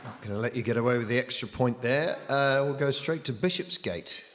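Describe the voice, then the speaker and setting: A man's voice: medium pitch, fairly quick, a crowd in the background. A man with a dry, needling manner, bantering as a panellist on a comedy game. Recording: echoey theatre, loud audience and noise.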